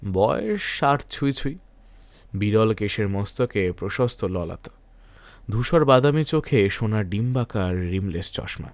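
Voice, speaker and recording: A man's voice: on the low side, no room sound. A man narrating a scripted detective thriller in a literary, dramatic storytelling manner.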